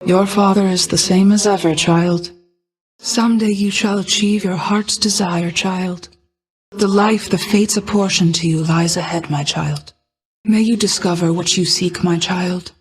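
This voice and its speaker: A woman voicing a regal, ageless, motherly goddess of night in a video game, speaking in formal, measured, archaic phrasing. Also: medium pitch, natural voice, poor audio. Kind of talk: scripted video game voice acting.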